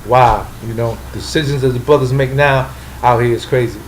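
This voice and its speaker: A man's voice: mid-range voice, fairly even intonation, moderate pace, slight background noise, poor audio. Blunt New York man, street talk. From the Bronx, New York. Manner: blunt, street-talking, emphatic. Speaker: interview guest. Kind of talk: casual interview talk.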